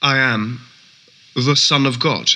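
Earnest, very bright-voiced self-styled messiah. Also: male voice, mid-range voice, steady tone, heavily acted. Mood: calm, solemn. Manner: measured, earnest, formal. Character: earnest, composed man claiming to be a messiah. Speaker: talk show guest. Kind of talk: radio play acting as an interviewee.

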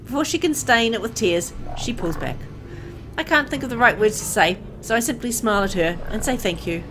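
A woman's voice: a little high, expressive, fairly quick, lightly acted, low-quality recording. A woman playing a mild young woman who narrates her own story in a visual novel, confiding and a bit prim. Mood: tender, reflective. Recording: music under the voice.

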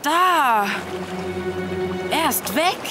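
A woman's voice: sits high, lively intonation, extremely slow, full character voice. A woman voicing an eager, whiny young boy in a German cartoon dub, exclaiming dramatically. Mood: worried. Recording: loud music behind.

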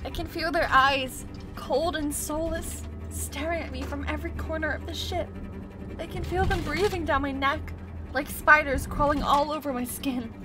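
A woman's voice: sits high, moderate pace, over music, close to an everyday voice. A woman playing an anxious, weary but loyal young survivor in an audio drama, earnest and pleading. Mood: terrified.

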